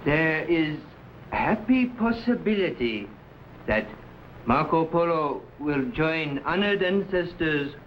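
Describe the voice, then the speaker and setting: Slow-talking, sly conspirator. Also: male voice, fairly high pitch, animated. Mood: sly, sinister. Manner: halting, stilted broken English. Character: cautious, sly Chinese conspirator, quietly sinister, ceremonious. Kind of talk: vintage serial melodrama. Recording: dull, faintly noisy old broadcast.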